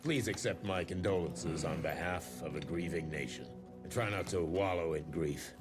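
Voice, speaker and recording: A man's voice: sits low, normal speed, loud music behind the voice, close to an everyday voice. A man with an American accent playing a pompous, profane, impatient government official in a video game cutscene. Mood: cold, formal.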